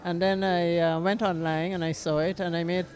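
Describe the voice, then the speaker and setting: A woman from Guyana, excited, answering an interviewer's questions before a play. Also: lowish voice, normal speed. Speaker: theatregoer being interviewed.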